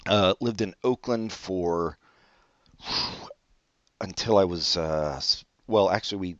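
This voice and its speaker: A man's voice: sits low, slow pace, dry recording. A man co-hosting a podcast, chatting casually in a dry, rambling way and swearing offhand. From born in Brazil, raised in California. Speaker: podcast co-host. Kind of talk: casual comedic chat. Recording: dull dry lo-fi audio.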